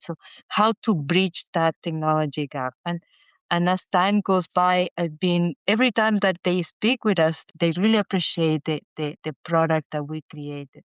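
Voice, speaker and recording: A middle-aged woman from Chile, a guest speaking haltingly with many restarts and repeated words in a casual interview. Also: deep voice, clean tone, no echo. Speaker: guest, inventor.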